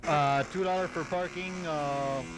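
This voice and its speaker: A man being interviewed on local radio about his club, explaining things in a measured, halting, unscripted way. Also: fairly high pitch, steady tone. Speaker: interviewed member of a model plane flying club. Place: outdoors at a flying field. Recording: noisy old home tape of radio broadcast.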